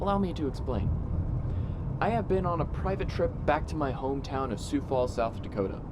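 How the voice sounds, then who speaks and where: A man's voice: on the high side, a little fast, lightly acted. A man playing an eager young podcaster. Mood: earnest. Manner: earnest, chatty, addressing listeners. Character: eager young male urban-exploring podcaster. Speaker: podcaster character. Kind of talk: radio drama narration, podcast intro. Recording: dull lo-fi audio with loud background noise.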